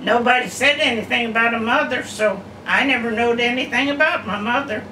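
An elderly woman in her nineties, sitting at home and slowly telling family stories with many pauses and uhs. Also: on the high side, bright, forceful tone. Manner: slow, rambling storytelling with many uhs. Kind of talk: casual reminiscing. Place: living room at home, seated in armchair. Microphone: camera across the room. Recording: echoey room, far camera, background hum.

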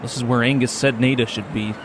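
A man's voice: medium pitch, fairly even intonation, brisk, noisy background, natural voice. A man playing a nervous, unsure young newcomer hero, hesitant in manner, in a fantasy audio drama. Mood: uneasy. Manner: hesitant, anxious.

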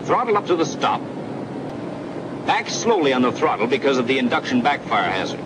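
A man's voice: mid-range voice, big pitch swings, brisk, lightly acted. A man narrating a 1940s military training film in scripted second person, explaining procedures in an instructive way. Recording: old film soundtrack with engine drone. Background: aircraft engine noise.

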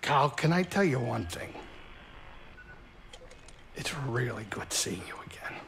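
An actor playing a caring older male alien pilot with a gruff, folksy, streetwise way of talking, in a video game cutscene. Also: mid-range voice, expressive, slow pace, fairly bright tone, slight background noise, heavily acted. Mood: warm, matter-of-fact.

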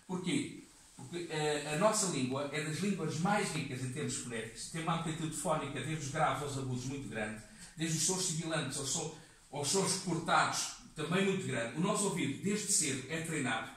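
A man in his fifties with a European Portuguese accent, giving a halting classroom lecture full of fillers, recorded from across the room. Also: fairly high pitch, a bit bright. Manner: halting, many fillers and restarts. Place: community classroom with seated audience. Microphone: far camera in the room. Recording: echoey room, voice from a distance.

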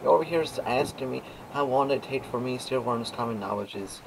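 A man's voice: medium pitch, a little fast. A young man chatting casually and swearing through banter while playing a game. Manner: profane, bantering. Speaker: gaming video creator. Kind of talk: casual chat while gaming. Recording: noisy home gaming recording. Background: clear background noise.

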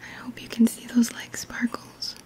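A woman in her thirties whispers gently and soothingly in a halting, breathy voice, hosting an ASMR guided healing session up close to the mic. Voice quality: breathy whisper, mostly unvoiced. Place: small quiet indoor room. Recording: faint background noise.

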